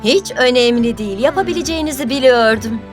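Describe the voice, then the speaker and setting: An actress playing a kind, patient schoolteacher in a children's cartoon, gentle and encouraging. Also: female voice, a little high, animated, fast, over music, close to an everyday voice. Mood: touched. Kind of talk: cartoon dub voice acting.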